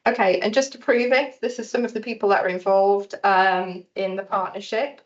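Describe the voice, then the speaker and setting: A woman with a Geordie accent speaks to a hospital conference audience. Her delivery is quick, friendly and self-deprecating. Her pitch is middle-range, and the lo-fi recording dulls her voice. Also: steady tone. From north-east England. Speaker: research hub speaker. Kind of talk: conference presentation. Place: hospital lecture hall. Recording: dull lo-fi sound.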